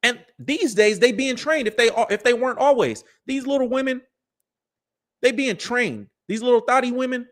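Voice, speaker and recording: Preachy American man ranting in slang. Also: sits high. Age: 30s. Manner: preachy, slangy, talks straight to listeners. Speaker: commentary show host. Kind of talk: animated live commentary.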